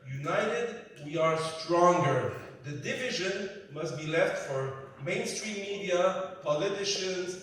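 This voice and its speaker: A man's voice: high voice, fairly bright tone. A man in his fifties from Canada with a French Canadian accent, giving an emphatic conference speech in short, repeated phrases; French is his first language. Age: 50s. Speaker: keynote speaker. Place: large conference hall. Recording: very echoey hall, speaker far from mic.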